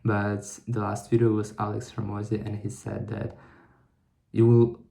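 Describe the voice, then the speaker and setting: A young man muses slowly to camera, stopping and restarting as he works through his thoughts. His voice is mellow and gravelly, with a low pitch. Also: completely flat delivery. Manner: halting, thinking aloud with many restarts. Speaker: vlogger. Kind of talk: reflective solo vlog monologue.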